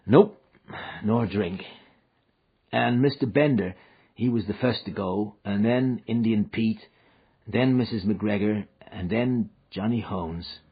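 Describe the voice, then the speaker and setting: A man playing a weary frontier wanderer who speaks gently to a child in a rustic dialect, with a husky voice. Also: fairly low pitch, slow, lo-fi sound, full character voice. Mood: gentle.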